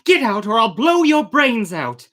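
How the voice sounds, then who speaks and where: A man voicing a defiant, hot-headed female pirate captain in a storybook reading, loud and blustering. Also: very high voice, very animated, unhurried, pitched above the performer's own voice, heavily acted. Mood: defiant anger.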